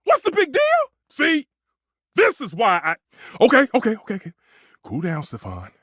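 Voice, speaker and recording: An actor playing a proud, temperamental, food-obsessed stove chef in a video game, with pompous, flowery delivery and exasperated outbursts. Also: male voice, extremely high pitch, wildly swooping pitch, normal speed, fairly bright tone, husky, dry recording, clean studio sound, full character voice. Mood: exasperated, fussy. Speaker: voice actor in a dating simulator game. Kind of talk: theatrical video game character acting.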